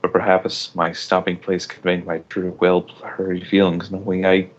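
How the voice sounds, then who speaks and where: Man, low deep voice, amateur fiction narrator, melodramatic, occasional stumbles, dull lo-fi recording. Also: monotone, normal speed. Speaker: fan fiction reader. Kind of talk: amateur dramatic reading of narration.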